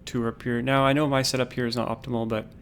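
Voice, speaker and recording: A man giving casual, unhurried gameplay commentary on a livestream, in a calm, low-key voice, thinking aloud as he goes. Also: slight background noise.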